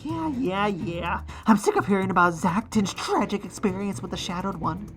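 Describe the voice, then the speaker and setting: A woman voicing a wry, scheming villain inventor in an animated fan dub, dry and smug. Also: mid-range voice, normal speed, faint music behind, lightly acted. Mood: irritated.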